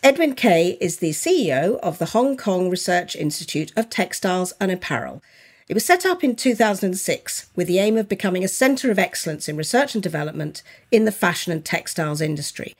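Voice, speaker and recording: A woman hosting an interview podcast, her voice sitting low for a woman. She introduces her guest and asks questions in a measured, articulate manner. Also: big pitch swings. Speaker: podcast co-host.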